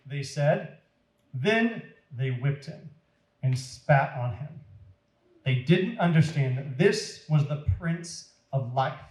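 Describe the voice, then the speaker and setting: A man reads aloud to young listeners in a roomy, echoing space. His voice sits slightly high, and he takes his time. The delivery is deliberate and dramatic, shaped for children. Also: darkish tone. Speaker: children's storyteller at a church. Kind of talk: expressive read-aloud to children. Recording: roomy echo.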